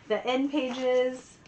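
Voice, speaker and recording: A woman's voice: high voice, background noise. A woman book vlogger chatting casually and enthusiastically, with giggly, emphatic repetition. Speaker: book vlogger answering tag questions. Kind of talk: casual chat to camera.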